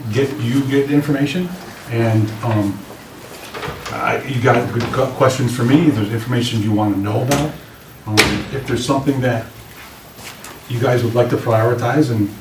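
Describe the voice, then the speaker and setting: A man with a slightly raspy voice giving an informal, plain-spoken briefing to a committee as a public works director. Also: on the low side. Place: indoor meeting room. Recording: roomy, distant mics with clear background noise.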